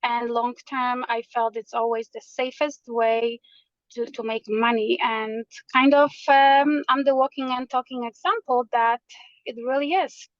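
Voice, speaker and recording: A woman's voice: fairly high pitch, steady tone, unhurried, dry recording, muffled recording. A woman from Poland, a realtor earnestly explaining her background and the market as a guest in an interview. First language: Polish. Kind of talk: interview answers.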